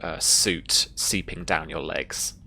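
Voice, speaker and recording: Low, creaky-voiced woman running a tabletop game. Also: slow, bright, forceful tone, a little hiss or hum. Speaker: game master. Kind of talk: narrating a tabletop roleplaying game, reading out rules.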